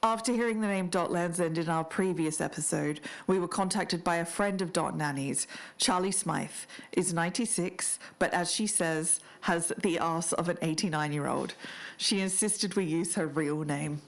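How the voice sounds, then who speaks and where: A woman playing an earnest podcast investigator, narrating in a measured, explanatory way. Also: fairly low pitch, lightly acted. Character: earnest female podcast investigator researching family history. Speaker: podcast co-host. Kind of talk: scripted documentary narration.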